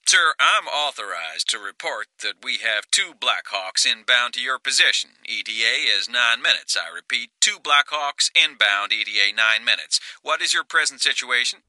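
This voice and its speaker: Twangy Texan colonel, sharp and forceful. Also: male voice, mid-range voice, animated, moderate pace, hardly any pauses, dry recording, voice pushed up, rough recording. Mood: stern, official. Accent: strong Texan. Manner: stern, humorless, formal. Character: stern, humorless male American Marine colonel.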